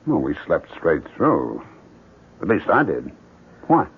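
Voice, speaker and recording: An actor playing a hot-headed, embittered husband in a radio drama, with an emphatic delivery. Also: male voice, extremely low pitch, huge pitch swings, slow, breathy edge, heavily acted. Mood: polite, guarded. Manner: vehement, emphatic. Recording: dull 1970s radio recording.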